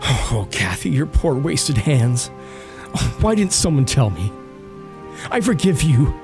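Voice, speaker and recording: A man's voice: mid-range voice, very animated, takes it slowly. Man acting an anguished lover, wildly swooping voice. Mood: tender anguish. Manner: tormented, intense, brooding. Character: anguished, bitter, passionate grieving male lover. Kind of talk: melodramatic literary audio drama acting. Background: dramatic music.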